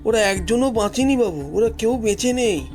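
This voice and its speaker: A man's voice: sits high, lively intonation, normal speed, a little mellow, faint music behind, pitched above the performer's own voice. A man voicing a frightened, apologetic village gatekeeper in an audio story, deferential and pleading, repeating himself. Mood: disbelieving, insistent.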